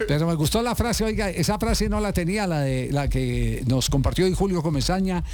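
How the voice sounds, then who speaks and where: A man's voice: on the high side, brisk, a little mellow. A man hosting a sports talk show, rambling with many fillers. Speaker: sports radio host.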